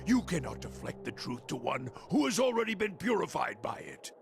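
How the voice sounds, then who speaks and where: An actor playing a bitter, fanatical older fire priest in a fantasy game, gravelly and scornful, preaching in slow pronouncements. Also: male voice, a little high, sing-song, music in the background, full character voice. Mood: defiant.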